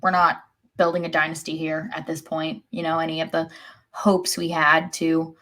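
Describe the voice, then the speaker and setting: A woman's voice: deep voice, monotone, moderate pace, fairly bright tone. A woman co-hosting a soccer podcast, chatting casually in a wry way. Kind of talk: casual sports chat.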